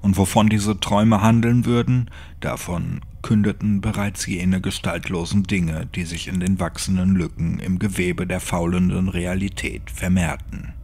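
A man narrating a horror audiobook in a grave, measured, dramatic scripted style. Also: low-pitched, steady tone, creaky, a little mellow, breathy edge. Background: music.

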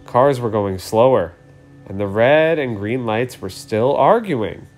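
A man's voice: mid-range voice, lively intonation, slow pace, a little mellow, faint music behind. A man in his thirties explaining things simply and reading a storybook aloud for children. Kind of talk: casual introduction and storybook read-aloud.

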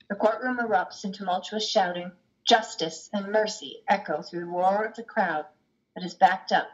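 A middle-aged woman reading scripted stage directions as a play's narrator over a video call. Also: fairly low pitch. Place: room at home. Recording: dull video-call audio.

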